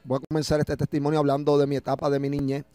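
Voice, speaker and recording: A man's voice: fairly high pitch, completely flat delivery, rapid-fire, warm tone, dry recording, soft background music. A middle-aged man with a Puerto Rican accent giving a personal religious testimony, often adding little tags that seek agreement, close to a studio microphone. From Puerto Rico. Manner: frequent verbal tags seeking agreement. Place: small radio studio. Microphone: close broadcast microphone with headphones.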